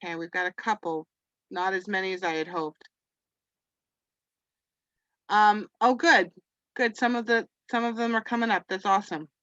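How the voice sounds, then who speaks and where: A woman's voice: mid-range voice, fairly even intonation, normal speed, pauses often, a bit bright, no room sound, dull audio. A woman from Canada whose first language is French, hosting a webinar for teachers and talking casually with lots of "you know" fillers. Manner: chatty, rambling, many "you know" fillers. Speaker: webinar host.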